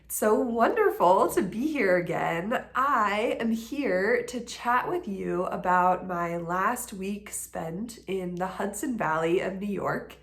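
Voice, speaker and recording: American woman, 30s, congested with a cold, unhurried and chatty vlog delivery, roomy echo. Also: mid-range voice, lively intonation, a bit bright. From Colorado, United States. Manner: chatty, rambling, with many ums and likes. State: sniffly with a cold. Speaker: knitting vlogger and doctor. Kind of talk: casual chat to camera. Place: living room at home, indoors. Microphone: camera in front at desk distance. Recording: echoey room.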